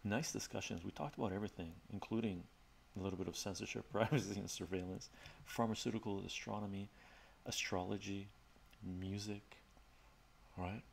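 Middle-aged man, Armenian native speaker, low gravelly voice, relaxed rambling chat, home study, faint background hiss. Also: a little fast. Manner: relaxed, rambling, many fillers and restarts. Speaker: livestream host. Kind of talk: casual soft-spoken chat with viewers.

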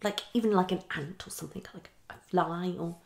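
A woman's voice: on the low side, gravelly. A young woman playing a hasty, tactless female psychologist in an ASMR roleplay, half whispering in a condescending, hesitant way. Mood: brisk, tactless. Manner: fast switching, hesitant repetitions, condescending. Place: small living room, indoors.

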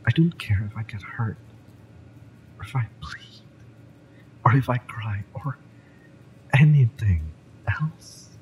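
A man playing a fiery, devoted mercenary in love, tough yet tender, in a romantic audio roleplay, with emphatic, halting delivery. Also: mid-range voice, animated, highs cut off, slight background noise. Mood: exasperated, devoted. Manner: impassioned, emphatic, halting delivery with breathy drops.

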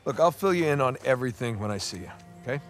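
A man playing a weary, wry adventurer in his thirties in a cinematic game, speaking naturally with halting understatement. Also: medium pitch, animated, faint music behind, natural voice. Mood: urgent, businesslike.

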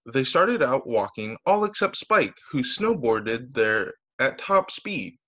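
A man, a teacher, reading children's stories aloud over a video call in an expressive, sing-song storytelling way. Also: medium pitch, slow pace. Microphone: video call microphone.

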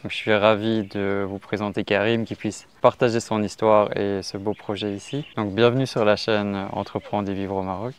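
A man's voice: fairly low pitch, little pitch movement, moderate pace, hardly any pauses, smooth. A man in his thirties hosting a friendly interview outdoors on a lapel mic. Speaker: host, interviewer. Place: outdoors in a garden. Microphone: clip-on wireless lapel mic.

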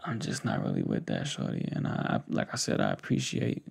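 A young American man with a gravelly voice doing an ASMR roleplay, talking unhurriedly and intimately to one listener, close on the mic. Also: very deep voice, huge pitch swings. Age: 20s to 30s. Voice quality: gravelly, fry-laden. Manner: unhurried, hesitant, pausing talk addressed intimately to one listener. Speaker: ASMR creator. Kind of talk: ASMR roleplay, soft conversational monologue. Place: small indoor setup with cloth backdrop. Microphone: close desktop condenser mic with pop filter.

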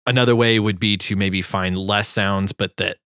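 Low-voiced young man, casual and close-miked. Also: fairly even intonation, normal speed, a bit bright, no room sound. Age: 20s. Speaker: sound designer hosting a tutorial. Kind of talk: casual screen-share walkthrough. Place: small home studio room. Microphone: close boom-arm microphone.

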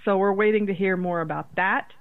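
A woman's voice: mid-range voice, fairly even intonation, brisk. A woman co-hosting a podcast, chatting casually and informally about horror films. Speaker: podcast co-host. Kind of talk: casual horror film chat. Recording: tinny phone-like line, roomy echo.

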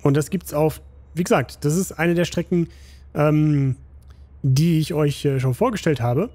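A slightly hoarse, tired man casually commenting on a game he plays, rambling with many fillers. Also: fairly high pitch, measured pace, mellow. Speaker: gaming channel host.

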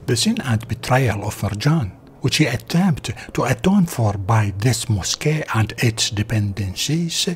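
A man's voice: medium pitch, a little mellow, a little hiss or hum. A man reads a scripted historical narration as a documentary narrator. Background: background music.

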